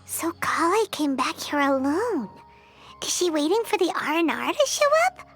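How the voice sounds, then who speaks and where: A woman voicing a tiny, excitable floating companion sprite who exclaims often and talks about herself in the third person, acted for a game. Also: high-pitched, normal speed, soft background music, exaggerated voice. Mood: thoughtful. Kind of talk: game voice acting.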